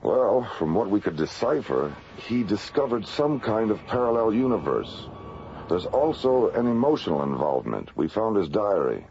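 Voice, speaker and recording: A man's voice: fairly low pitch, very animated, normal speed, creaky, a little mellow. An actor playing a sober spaceman who explains things matter-of-factly, in old-time radio drama style. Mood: sober, explanatory. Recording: dull, slightly noisy vintage radio recording.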